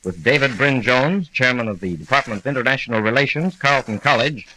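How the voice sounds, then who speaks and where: Bright, crisp-edged American radio announcer. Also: male voice, mid-range voice, fast talker. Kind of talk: 1940s radio announcing, scripted. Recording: noisy 1940s radio broadcast recording.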